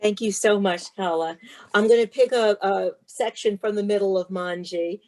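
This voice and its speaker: A woman's voice: medium pitch, fairly even intonation, moderate pace, darkish tone, rough recording. A woman speaking as a guest author, with an emphatic, rhythmic delivery suited to sharing and reading storybooks. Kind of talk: interview talk and expressive storybook read-aloud.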